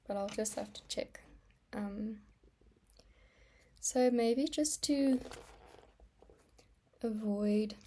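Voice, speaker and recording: Slow, soft-spoken young woman rambling. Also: medium pitch, a little hiss or hum. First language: Afrikaans. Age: young adult. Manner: rambling, soft-spoken, with frequent ums and small laughs. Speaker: artist vlogger. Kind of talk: casual rambling vlog while stamping and sketching.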